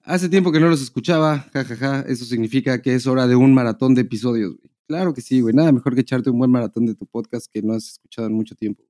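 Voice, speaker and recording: Mellow Mexican man, casual podcast chat. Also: mid-range voice, fast, few pauses. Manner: crude, slangy, joking. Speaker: podcast co-host. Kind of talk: casual chat, reading out listener messages.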